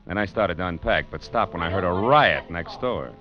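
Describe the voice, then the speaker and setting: A man's voice: low-pitched, animated, normal speed. An American man playing a wry, tough private detective in an old-time radio drama, sardonic and clipped in his delivery. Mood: wry narrating. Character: wry, tough, nosy male private detective, worldly and unflappable. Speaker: narrator and lead actor. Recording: dull vintage radio recording, music behind.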